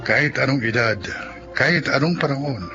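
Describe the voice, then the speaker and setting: A man's voice: medium pitch, normal speed, bright, forceful tone, dull audio. A man with a Filipino accent reading scripted narration as a radio drama announcer. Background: music.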